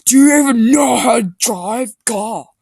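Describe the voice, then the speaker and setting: Comic story role, read by a man: over-dramatic teenage boy, gluttonous and excitable, exclaiming in a pushed-up high voice. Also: a little slow, gravelly, slightly rough, dry recording, clean studio sound, exaggerated voice. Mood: indignant.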